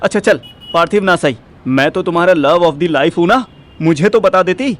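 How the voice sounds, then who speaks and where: High-pitched excitable young Indian boyfriend. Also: male voice, normal speed. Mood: teasing, hurt. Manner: exclamatory, mixes English into Hindi. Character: excitable young boyfriend, jealous, hot-headed, teasing. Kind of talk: Hindi audio drama acting. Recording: music behind the voice.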